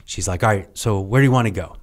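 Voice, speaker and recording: A middle-aged man, a guest on a podcast, telling a story in a halting, rambling way that trails off quietly. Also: lowish voice. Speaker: podcast guest, retired Navy serviceman. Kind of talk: casual interview storytelling.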